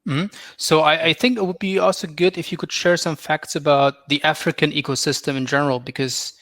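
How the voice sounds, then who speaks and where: A man hosting an online fireside chat, casual and halting, asking open questions. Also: on the high side, fairly even intonation, a bit bright, no room sound. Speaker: host.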